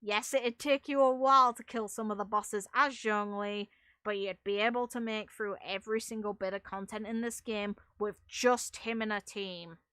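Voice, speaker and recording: A woman's voice: medium pitch, fairly even intonation, moderate pace, bright, forceful tone, clear, resonant tone, no room sound. A woman chatting casually on a livestream as a game streamer, giving her opinions in an emphatic, opinionated way. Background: music.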